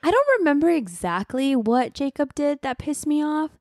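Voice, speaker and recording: A woman podcast co-host speaks in a fairly high voice with very lively intonation. She chats informally and playfully, retelling scenes, recorded close up in a dry space. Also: normal speed, dry recording. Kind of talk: casual chat about books.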